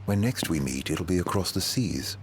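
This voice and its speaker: A man's voice: sits low, expressive, normal speed. A man playing a suave, slippery schemer in a video game, theatrical and teasing as he feigns helpfulness. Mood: sly, amused. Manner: smooth-talking, theatrical, teasing. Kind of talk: video game cutscene acting. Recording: music under the voice.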